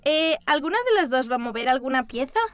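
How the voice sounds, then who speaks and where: A woman voicing a cheeky, excitable young girl in an animated children's cartoon, playful and exaggerated. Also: sits high, fast, natural voice. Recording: music behind the voice.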